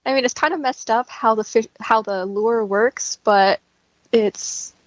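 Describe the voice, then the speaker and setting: A woman talking casually as a guest in a relaxed conversation. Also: a little high, a little slow, no room sound, lo-fi sound. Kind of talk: casual chat.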